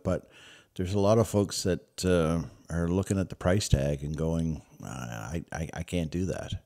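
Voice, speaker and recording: A man co-hosting an interview, asking a measured question with drawn-out fillers. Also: sits low, warm tone. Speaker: co-host.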